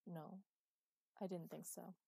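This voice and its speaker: A woman's voice: on the low side, flat delivery, slow, vocal fry, mellow, slightly rough, no room sound, studio quality, heavily acted. A woman voicing an injured woman who speaks frankly and inquisitively in a storybook reading. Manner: matter-of-fact, inquisitive.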